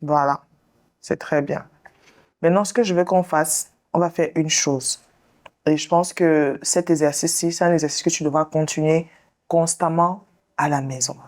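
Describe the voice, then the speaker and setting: A woman therapist hosting the show, leading a guest through a slow guided visualization and counseling talk in a soothing, directive way. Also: deep voice, steady tone, darkish tone. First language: English. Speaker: sex therapist and show host.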